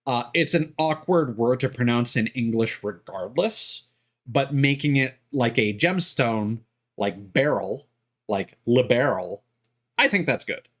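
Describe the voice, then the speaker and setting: A man's voice: mid-range voice, animated, a little slow, a bit bright. A man streaming a game, chatting casually to his audience with lots of ums and uhs. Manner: rambling, many fillers.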